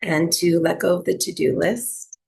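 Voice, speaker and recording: A woman teaching yoga and breathwork, gently guiding a relaxation meditation with soothing, unhurried instruction and long pauses. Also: fairly low pitch, steady tone, gravelly, poor audio.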